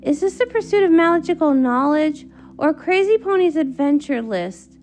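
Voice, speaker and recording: Woman playing poised, high-voiced pony princess. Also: lively intonation, moderate pace, a little mellow. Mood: wry. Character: regal pony princess, dutiful young female ruler, playfully adventurous. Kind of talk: comic read-along character acting. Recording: music under the voice.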